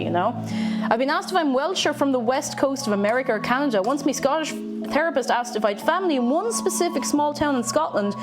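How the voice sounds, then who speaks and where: A young Irish woman with a Tipperary accent that carries a touch of Dublin chats warmly to her live chat, laughing easily and perking up when she greets people. Her voice sits a little high. Stream music plays clearly behind her, and her voice fades now and then as she steps away from the mic. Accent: Irish, from Tipperary with some Dublin. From Ireland. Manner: chatty, laughs often, greets chat with bursts of excitement. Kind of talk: casual chat while reading live chat and cooking. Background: background music playing.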